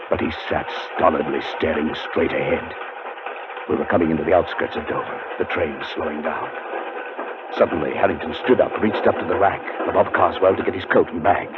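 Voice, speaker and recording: An actor playing a haunted, desperate man who narrates his own ordeal in tense, deliberate 1940s radio style. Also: a bass voice, background noise. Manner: tense, dramatic, deliberate delivery. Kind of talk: 1940s radio acting, first-person suspense narration. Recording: muffled 1940s radio broadcast with loud music.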